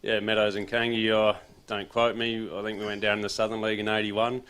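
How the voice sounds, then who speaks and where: Smooth low-voiced Australian footy coach. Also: male voice, a little fast. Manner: relaxed, rambling, says he has a loud voice. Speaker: assistant football coach. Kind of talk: casual interview chat.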